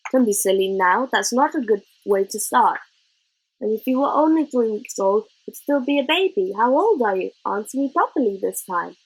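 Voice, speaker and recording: A woman voicing a stern male head teacher in a storybook, brisk and commanding. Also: fairly high pitch, expressive, husky, poor audio. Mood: doubtful, brisk.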